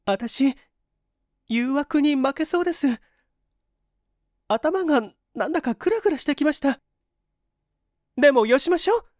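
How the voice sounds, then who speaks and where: A woman's voice: high-pitched, expressive, a little slow, long pauses, a little mellow, dry recording, clean studio sound, voice pushed up. A woman voicing a polite, principled, hungry young woman with emphatic, old-fashioned feminine speech in a dramatised story reading. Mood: anguished, pleading.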